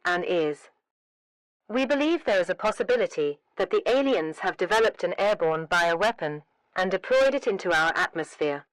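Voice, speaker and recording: A woman delivering a scripted emergency alert announcement in a formal, measured, solemn manner. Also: lowish voice, no room sound, poor audio.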